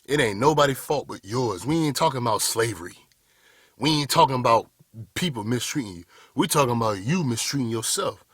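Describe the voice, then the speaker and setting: A man's voice: mid-range voice, lively intonation, brisk, fairly bright tone, slightly rough, no room sound, studio quality. A man, giving a blunt, preachy motivational talk straight to the camera. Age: 30s. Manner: blunt, preachy, addresses viewers as "y'all boys". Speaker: motivational speaker. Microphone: camera mic, speaking to camera.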